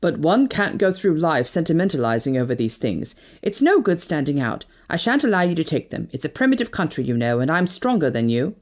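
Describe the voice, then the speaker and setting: A woman's voice: low-pitched, sing-song, fairly quick, few pauses. A woman voicing a stern, commanding colonel in an audiobook, with a firm, clipped and condescending manner. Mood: stern, hard. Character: stern, commanding British colonel, a grim older man. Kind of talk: audiobook character dialogue.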